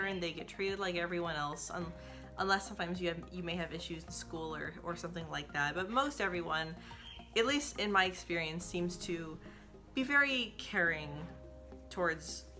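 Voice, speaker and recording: A woman's voice: on the low side, pushed and bright. A young woman from the United States who stutters, talking casually to camera about her own experience, with frequent repeated sounds and restarts.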